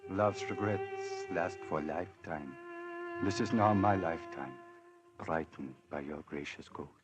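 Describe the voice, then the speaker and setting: An actor playing a lovestruck, grave prince, speaking in solemn declamation in an old radio drama. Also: male voice, sits low, measured pace. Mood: tender, grieving. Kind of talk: 1940s radio acting. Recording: muffled old radio recording, music behind. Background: music.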